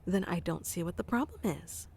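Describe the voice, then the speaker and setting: A woman doing a soft-spoken ASMR roleplay, teasing and commanding yet doting, close to the mic. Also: on the low side, expressive, normal speed, vocal fry, husky. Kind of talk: ASMR roleplay, playing a bossy affectionate boss.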